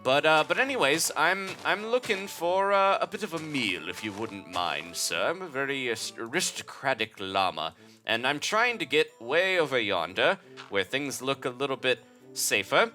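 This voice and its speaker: A man's voice: mid-range voice, big pitch swings, moderate pace, bright, forceful tone, soft background music. Man putting on an aristocratic starving llama. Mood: pleading. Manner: genteel, rambling, stammering.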